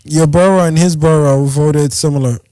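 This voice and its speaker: A man's voice: fairly high pitch, fairly even intonation, moderate pace, warm tone, dry recording, rough recording. A man co-hosting a podcast, chatting casually in a laid-back, slangy, joking way. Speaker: podcast co-host. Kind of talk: casual chat.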